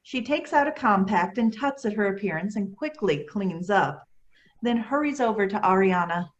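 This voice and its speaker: Woman narrating stage directions, medium pitch. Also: expressive, moderate pace, lo-fi sound. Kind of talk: scripted narration at a staged play reading.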